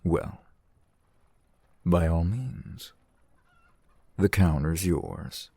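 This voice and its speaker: A man playing a genial, teasing, flirtatious fantasy shopkeeper in an ASMR roleplay, unhurried and wry. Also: dark tone, dry recording, full character voice. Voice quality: low, gravelly, husky. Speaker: shopkeeper character in audio roleplay.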